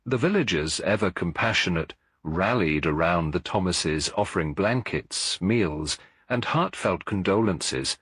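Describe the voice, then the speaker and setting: Male narrator for mystery audiobook: low, slightly husky, measured storytelling. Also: lively intonation, a bit bright, no echo.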